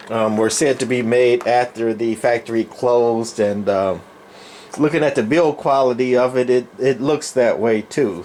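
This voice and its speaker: A man's voice: mid-range voice, a little mellow. A man giving a casual, unscripted show-and-tell as a collector, talking in a rambling, folksy, plainspoken way. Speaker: radio collector presenting his collection. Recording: clear background noise, room ambience.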